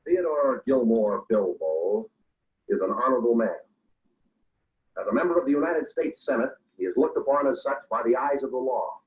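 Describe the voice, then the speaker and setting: A man playing a grave, sardonic newsman narrator in measured 1940s radio style, replayed through a speaker. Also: mid-range voice, expressive, distant mic. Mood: grave, ironic. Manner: measured, grave, ironic oratory. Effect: played through a speaker into a call. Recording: tinny, roomy old radio playback.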